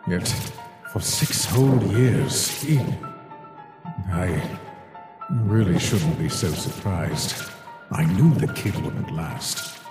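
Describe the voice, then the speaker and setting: A man playing a cold, weary, guilt-ridden scientist monster in a dramatic comic dub, speaking in a deliberate, theatrical way. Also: low-pitched. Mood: brooding, bitter. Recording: echoey reverberant voice with background music.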